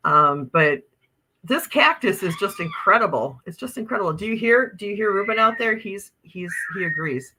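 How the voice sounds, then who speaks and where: Bubbly Upper Midwest woman, lively pitch. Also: moderate pace, background noise. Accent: Upper Midwest American. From Wisconsin, USA. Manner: bubbly, chatty, exclamatory. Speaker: livestream host. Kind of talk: casual live-stream chat reading viewer comments.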